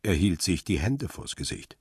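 An actor playing a cool, hard-boiled first-person narrator in a radio crime drama, with a deep husky voice and terse, matter-of-fact delivery. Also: male voice, very animated, normal speed, no echo, clean studio sound, heavily acted.

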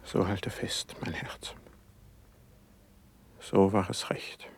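An actor playing a sensitive, driven, melancholy young poet in a classical verse radio drama, with fervent, declaimed diction and a husky voice. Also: male voice, low voice, steady tone, very slow, in a whisper, heavily acted. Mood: bitter, agitated.

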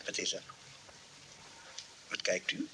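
A man's voice: takes it slowly, very noisy, close to an everyday voice. An actor playing a talkative man in a radio play, with a colloquial manner. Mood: attentive.

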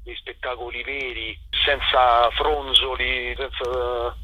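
A man with a slightly husky voice, chatting informally as an interview guest. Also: medium pitch, little pitch movement, takes it slowly, sharp tone, no room sound. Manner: chatty, informal, peppered with tags. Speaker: actor and playwright, interview guest. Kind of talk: casual radio interview. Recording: dull lo-fi telephone interview.

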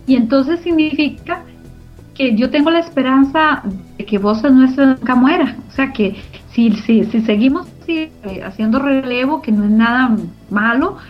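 A woman's voice: high-pitched, moderate pace. A middle-aged woman with a Costa Rican Spanish accent, answering interview questions in an earnest, emphatic way and repeating phrases for emphasis. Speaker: interviewee, co-founder of a community radio organization. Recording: muffled lo-fi audio with background music.

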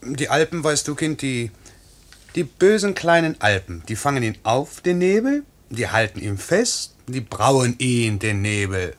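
A man's voice: mid-range voice, big pitch swings, measured pace. A man playing a cheerful, teasing pilot in a 1950s German radio play, speaking in a breezy, colloquial way. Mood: tender, teasing. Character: cheerful, teasing night-mail pilot, tender with his young wife.

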